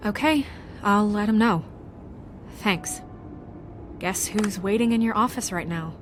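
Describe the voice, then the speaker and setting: An actress playing a composed, authoritative town official in a video game, speaking crisply and with control. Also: female voice, medium pitch, measured pace, slight background noise, lightly acted. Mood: brisk, curious. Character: firm, composed, businesslike female town official. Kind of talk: video game drama acting.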